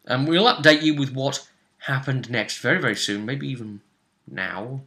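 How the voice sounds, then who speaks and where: A man acts as game master, telling the story of a tabletop session. His voice is bright and forceful at a middling pitch. He talks casually and haltingly, circling back over phrases as he builds the scene.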